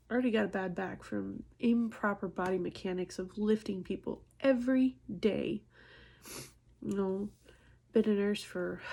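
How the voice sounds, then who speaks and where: Woman, early 30s, husky and soft-edged, for an unhurried, candid, self-deprecating vlog monologue. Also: medium pitch. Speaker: nurse and vlogger. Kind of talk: casual personal vlog monologue.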